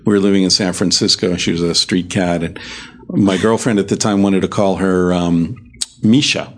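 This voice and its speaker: A man's voice: deep voice, steady tone, moderate pace, slight background noise. A man hosting a podcast, chatting casually with dry, laid-back jokes. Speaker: podcast host. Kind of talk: casual interview chat.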